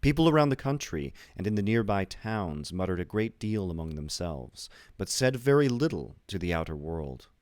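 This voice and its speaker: A man with a deep, low voice narrates a literary horror story. His reading is measured and deliberate, and the sound is dry, with very little room around it. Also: very animated, a little mellow, dry recording. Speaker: audiobook reader.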